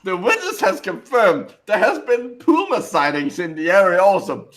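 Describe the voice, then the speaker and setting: Excitable stammering man, lively pitch. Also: moderate pace. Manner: excitable, stammering, laughs a lot. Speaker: co-host. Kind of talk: casual comedic banter.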